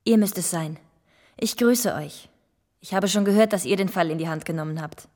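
Actress as an earnest, courteous young gentlewoman. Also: on the low side, normal speed, close to an everyday voice. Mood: wary, hopeful. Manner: formal, courteous. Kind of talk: German radio drama acting.

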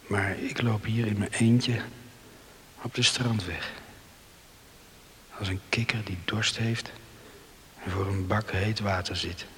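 A man's voice: fairly low pitch, fairly even intonation, slow, pauses often, a bit bright. A man acting a remorseful islander in a Dutch radio play, brooding aloud in self-reproach, on a hissy recording with heavy reverberation. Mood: ashamed, anguished.

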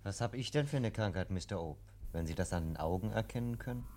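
An actor playing a down-to-earth, teasing police sergeant in a 1950s radio play, jovial and blunt. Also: male voice, lowish voice, expressive, fast talker, darkish tone. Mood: amused. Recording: noisy vintage 1950s radio recording.

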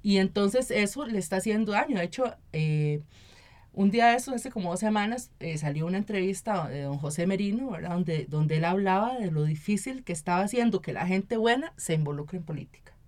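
A woman's voice: mid-range voice, lively intonation, brisk, darkish tone. A woman with a Costa Rican accent speaking as a guest in a conversational radio interview, explaining at length and often checking in with tag questions. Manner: explanatory, often tags statements with "¿verdad?". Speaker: interview guest, local council member and activist.

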